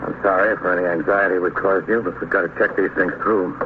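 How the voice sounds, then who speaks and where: Low-voiced police detective on tinny, noisy radio , played by a man. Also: fairly even intonation, quick pace, lightly acted. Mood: dry, businesslike. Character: steady, polite, businesslike male police detective. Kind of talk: 1950s radio police drama acting. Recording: muffled, noisy narrow-band old radio recording.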